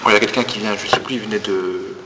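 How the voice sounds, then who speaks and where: A man's voice: fairly low pitch, fairly even intonation, racing. A man from Lorraine with a Lorraine French accent, explaining things at length in a casual, playful chat. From Lorraine, France. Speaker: discussion participant. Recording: echoey room, distant reverb, faint noise.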